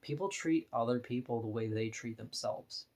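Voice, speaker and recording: A woman with a deep voice, talking bluntly and frankly in a candid personal vlog monologue, laughing now and then between points. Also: normal speed, darkish tone. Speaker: vlogger.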